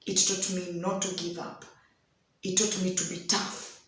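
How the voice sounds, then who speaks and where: A young Kenyan woman with a hoarse voice tells a personal story in an unhurried devotional talk, slipping in Swahili phrases. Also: lowish voice, little pitch movement, fairly bright tone. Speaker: host of a daily devotional. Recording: very echoey room.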